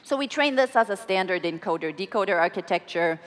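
A woman in her forties giving a technical keynote on stage, explaining her work in a measured way with some ums and restarts. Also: medium pitch, lively intonation. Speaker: keynote speaker. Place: large conference hall on stage. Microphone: podium microphone and headset mic. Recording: long hall reverb.

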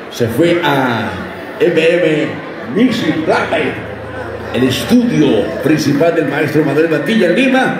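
A man emceeing a live concert in a large dance hall, shouting and joking over a handheld microphone to the crowd. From Colombia. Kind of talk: live stage announcing. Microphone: handheld mic through a PA, filmed by a phone in the crowd. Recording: booming PA echo in a loud, noisy hall.

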